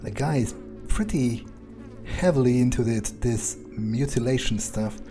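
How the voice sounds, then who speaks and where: A man voicing a proud, power-hungry vampire in an improvised game playthrough, musing haltingly and swearing as he goes. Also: mid-range voice, music in the background, natural voice. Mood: wary, musing. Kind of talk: improvised in-character gameplay monologue.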